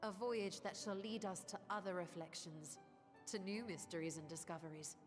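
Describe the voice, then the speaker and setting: A woman's voice: lowish voice, lightly acted. Woman playing an eloquent scholarly sorceress, reverberant hall. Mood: resolute. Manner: formal, articulate, measured. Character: scholarly sorceress, composed, eloquent, keen for discovery. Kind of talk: scripted video game voice acting. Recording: long reverb, music behind.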